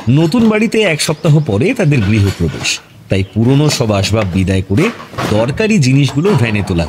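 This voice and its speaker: A man narrating a scripted audio story in an expressive storytelling style. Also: on the low side, a little hiss or hum.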